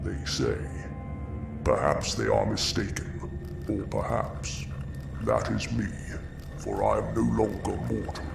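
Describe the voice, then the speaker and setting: A man voicing a haunted old undead hunter in gothic horror narration, gravelly, brooding and ominous. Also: full character voice. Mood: mournful, eerie. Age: older. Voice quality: gravelly, very deep. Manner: slow, brooding, ominous storytelling. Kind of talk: scripted first-person gothic horror narration. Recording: roomy echo over music. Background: music.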